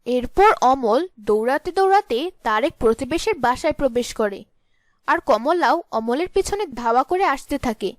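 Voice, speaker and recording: A woman's voice: high-pitched, expressive, normal speed, dry recording, clean studio sound. A woman narrating a scripted fairy tale as the storyteller.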